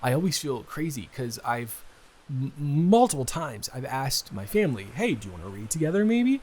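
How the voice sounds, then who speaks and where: Guy acting as a shy smitten young dude in a roleplay audio, rambly and stammery, kinda apologetic, medium pitch. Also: big pitch swings, normal speed, darkish tone. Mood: excited, earnest. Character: awkward, earnest, smitten young man, best friend's brother. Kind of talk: improvised intimate roleplay audio.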